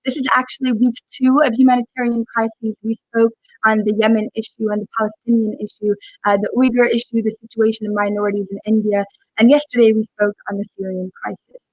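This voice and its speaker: A woman's voice: fairly high pitch, level tone, a little mellow, no room sound, highs cut off. Earnest woman hosting a talk show. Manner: earnest, measured, with fillers. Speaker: host.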